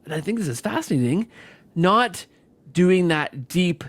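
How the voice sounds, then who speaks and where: A man with a fairly high voice hosts an interview in a very dry acoustic. He talks conversationally, often restarting and rephrasing as he works toward his point. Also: lively intonation, fairly bright tone, no echo. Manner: halting, repeats and rephrases phrases while building a point. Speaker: podcast host.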